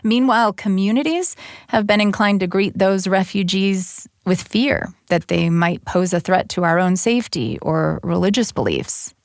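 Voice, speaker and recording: A woman reporter giving measured, scripted radio narration in a close studio. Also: medium pitch, dry recording. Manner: measured, even, read from a script. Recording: dry, close studio sound.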